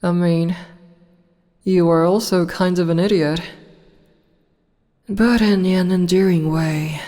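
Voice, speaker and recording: Woman voicing a demon empress, teasing, soft whispers, unhurried, long reverb tail. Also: lowish voice. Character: teasing female demon empress, regal and amused. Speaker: voice actor. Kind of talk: ASMR audio roleplay.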